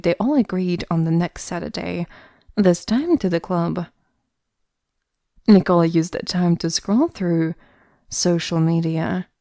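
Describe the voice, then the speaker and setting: Mellow, lower-pitched woman narrator. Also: no room sound, studio quality. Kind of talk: scripted story narration.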